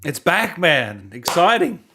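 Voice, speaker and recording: A man's voice: fairly high pitch, sing-song, slow, bright, forceful tone, a little hiss or hum. A man hosting a casual interview in an enthusiastic, informal, slangy way. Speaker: interviewer, host. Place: remote video call.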